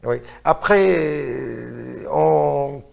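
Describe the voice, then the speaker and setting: A man's voice: mid-range voice, drawn-out words, vocal fry. A middle-aged man from Morocco, a singer, talks in a casual interview, hesitant, often repeating words as he searches for phrases.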